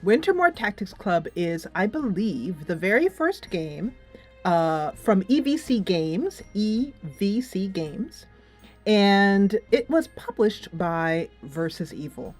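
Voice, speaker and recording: A woman's voice: mid-range voice, very animated, takes it slowly. A woman hosting a casual game review, talking in a chatty, loosely structured, emphatic way. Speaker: game reviewer and channel host. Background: music.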